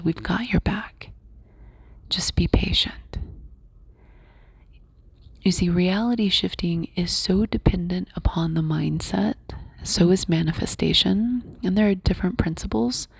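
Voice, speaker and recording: A young woman whispering softly into a close mic as she hosts a spiritual podcast talk. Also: fairly low pitch, gravelly, breathy edge, no echo. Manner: soft whisper throughout. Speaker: podcast host.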